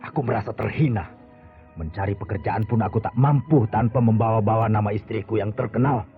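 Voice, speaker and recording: An actor playing a hot-tempered, bitter husband with wounded pride in an Indonesian radio drama. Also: male voice, lowish voice, a little fast, lightly acted. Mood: humiliated, angry. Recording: tinny old radio drama, music behind.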